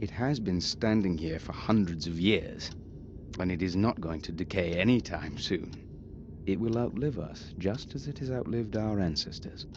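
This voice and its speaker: An actor playing a formal, measured and aloof lord of an old castle in a video game. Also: male voice, deep voice, lightly acted. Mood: dismissive, guarded. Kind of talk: scripted video game voice acting. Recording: muffled, very noisy game audio.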